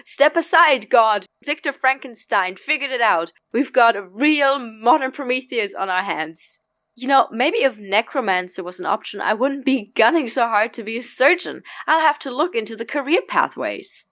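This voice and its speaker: A sarcastic, exasperated college lad acted out by a woman in an audio drama, fairly high in pitch. The delivery is biting and dramatic, full of disbelief, and recorded dry. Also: lively intonation, normal speed, fairly bright tone, no room sound. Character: sarcastic, exasperated young male pre-med student roommate.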